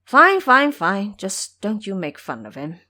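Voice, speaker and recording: A woman playing an earnest, fretful male monk master in an audio drama, speaking in a measured, admonishing way. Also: medium pitch, sing-song, sharp tone, full character voice. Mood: doubtful, relenting.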